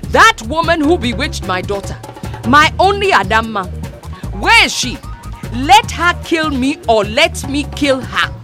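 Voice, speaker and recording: A furious middle-aged mother who shouts her demands, loud and high with wild swings, as a woman reader voices her. There is faint music behind her. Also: very animated, measured pace. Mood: raging.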